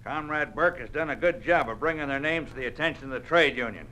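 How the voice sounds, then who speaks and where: Guy playing a tough blunt american union boss, threatening, sharp voice, old 50s radio show with some noise. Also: medium pitch, fast talker, close to an everyday voice. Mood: stern. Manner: tough, folksy, intimidating. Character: menacing, blunt male trade union party boss. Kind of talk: 1950s radio acting. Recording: noisy old radio recording.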